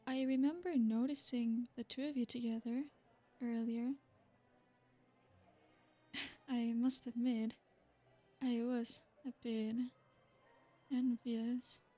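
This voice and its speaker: Female performer as an ancient noble vampire, seductive and possessive. High, even delivery, slow and formal. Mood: flirtatious. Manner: soft, formal and flattering. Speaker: ASMR roleplay performer. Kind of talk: ASMR roleplay.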